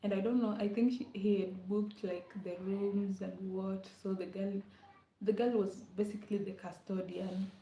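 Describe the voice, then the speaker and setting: A woman's voice: medium pitch, little pitch movement, moderate pace, warm tone. A young woman from Kenya, chatting casually with viewers on a livestream, laughing often and repeating her words. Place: rented room at home, indoors. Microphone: laptop mic. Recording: echoey room, dull lo-fi sound.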